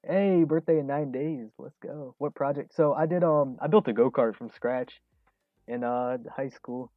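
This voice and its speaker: A man of about twenty talks loosely while reading chat questions on a trading stream, mid-pitched and relaxed, dropping in plenty of ums and little laughs. The sound is narrow and tinny, like a phone line. It is dry, with no room echo. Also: measured pace. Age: 20s. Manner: relaxed, chuckles often, many fillers. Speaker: stock trading livestream host. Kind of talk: casual livestream chat reading viewer questions.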